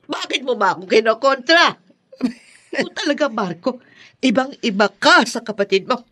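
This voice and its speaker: A woman's voice: a little high, lively intonation, moderate pace, a bit bright, slight background noise. A woman with a Filipino accent playing a possessive, resentful older mother in a melodramatic radio drama style. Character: clingy, resentful older mother of grown sons.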